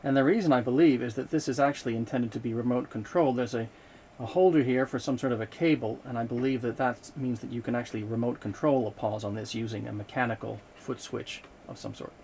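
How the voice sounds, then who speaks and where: A man giving an unscripted hands-on demonstration of vintage equipment, explaining in a measured way with hesitant pauses mid-sentence. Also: mid-range voice, darkish tone. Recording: noticeable background noise, room ambience.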